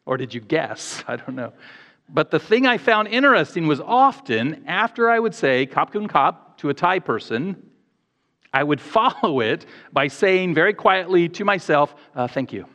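A man delivering a Sunday sermon with earnest, measured phrasing and a voice pitched a bit high for a man. His words ring out in a church hall with a long, lingering reverb. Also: sing-song, sharp tone. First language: English. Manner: earnest, measured preaching with pauses and self-corrections. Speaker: pastor.

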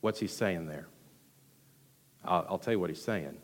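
A man with a Texan accent preaching a sermon as pastor, rushing through some lines and dropping to hushed asides between louder, emphatic ones. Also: low voice. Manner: animated preaching, swings from loud emphasis to hushed asides, quick delivery. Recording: long hall reverb.

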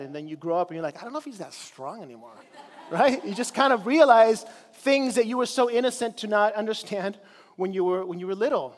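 A man from Chile preaches in a relaxed, conversational way, slowing down and drawing out important words. His voice sits high for a man. The sound carries a long reverberant tail from a church hall. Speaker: pastor. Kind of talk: sermon. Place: church sanctuary.

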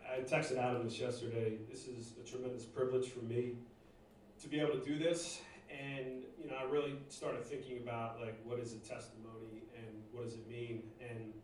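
A man's voice: mid-range voice, a little mellow. Nervous man in an echoey church hall. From Northeast Philadelphia, US. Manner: nervous, halting, many fillers and restarts. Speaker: church member giving testimony. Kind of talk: personal testimony to a congregation. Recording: echoey room, distant mic, faint noise.

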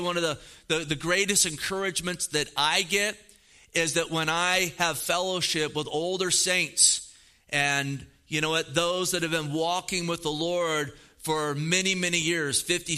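A man's voice: fairly high pitch, pushed and bright, smooth. A pastor in his fifties preaching a sermon, earnest and emphatic, repeating phrases for weight. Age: 50s.

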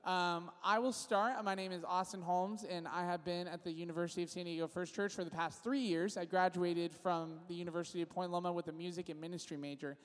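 A man's voice: high voice, steady tone, a little fast, few pauses. A young man from California leading worship, casually introducing his band and then praying aloud in a loose, friendly way. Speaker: worship leader. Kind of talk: church service intro and spoken prayer. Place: church sanctuary. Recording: long reverb of a large church hall.

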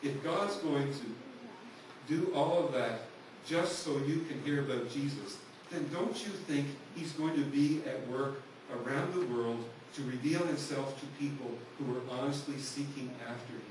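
Preacher in a very echoey sanctuary. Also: male voice, medium pitch, normal speed, darkish tone. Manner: storytelling preacher, earnest and conversational. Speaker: pastor. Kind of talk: sermon. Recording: echoey hall, voice from far off, noisy.

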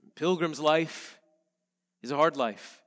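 A male pastor, a little nasal from a head cold, reading scripture and preaching in an earnest, measured way. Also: fairly high pitch, fairly bright tone, long reverb tail. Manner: earnest, measured preaching with slow emphatic phrases. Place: church sanctuary.

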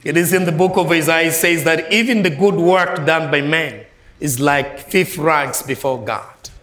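Malawian guy preaching, high-ish voice, emphatic, lots of room echo. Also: normal speed. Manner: emphatic, swings between soft low phrases and raised ringing lines. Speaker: guest preacher. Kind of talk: sermon. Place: church.

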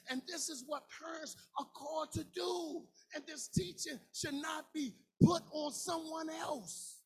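Man, 40s, preacher, very high pitch, impassioned shouting, sing-song cadence, casual grammar. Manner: colloquial, informal grammar. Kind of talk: sermon.

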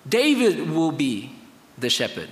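A man from Indonesia, speaking English as his second language, preaching a sermon with emphatic highs and quiet lows. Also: huge pitch swings, unhurried. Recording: reverberant hall.

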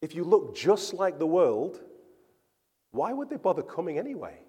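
A man's voice: fairly high pitch, very animated, normal speed, dark tone, breathy edge. A man preaching a sermon as a pastor, earnest and emphatic, often repeating words for stress. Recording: church hall with long reverb.

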